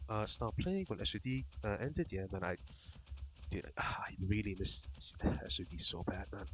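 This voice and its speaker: A man streaming a game and chatting casually with his viewers, his voice slightly raspy from being sick, rambling and swearing loosely. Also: fairly low pitch, very animated, moderate pace, fairly bright tone, slight background noise.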